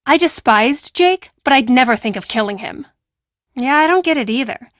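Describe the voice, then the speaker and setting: A woman playing a brash, defensive teenage girl who works as a high school hall monitor in a video game, with a sassy, dismissive and emphatic delivery. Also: expressive, normal speed, a bit bright, clean studio sound. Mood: matter-of-fact. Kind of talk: acted video game dialogue.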